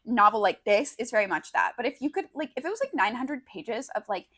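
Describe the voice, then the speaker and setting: A woman's voice: fairly high pitch, lively intonation, fairly quick, fairly bright tone, slightly rough. A young woman co-hosting a podcast, chatting casually in a rambling, slangy way peppered with "like". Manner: slangy, rambling, hedging with frequent "like". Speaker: podcast co-host. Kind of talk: casual conversational podcast chat.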